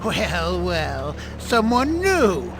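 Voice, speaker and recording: A man's voice: high voice, huge pitch swings, very slow, very noisy, heavily acted. A man voicing a sly, greedy sea creature in a video game, wheedling and taunting in a theatrical way. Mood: sly, demanding.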